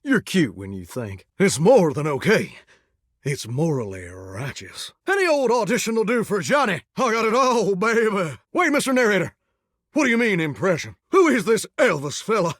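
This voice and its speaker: A cocky 1950s rock-and-roll crooner who happens to be a faucet, for a video game. He has a high-pitched, very animated voice with a hammy Southern American drawl. The recording is dry and close. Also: normal speed, no echo, exaggerated voice. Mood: coy, prim, then excited, showboating. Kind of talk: theatrical game voice acting.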